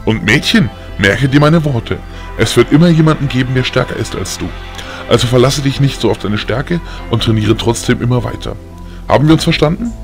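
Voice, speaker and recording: A man voicing a strict, gruff but loving old grandfather who lectures sternly in an audio story. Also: mid-range voice, animated, brisk, soft background music, lightly acted. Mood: admonishing.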